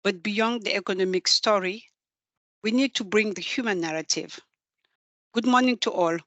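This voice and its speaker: A woman presenter with a Senegalese accent speaks at a middle pitch. Her delivery is earnest and measured, like a prepared story told to a webinar, and the sound is dry with very little room in it. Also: fairly bright tone, no room sound, highs cut off. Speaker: presenter from a development organisation.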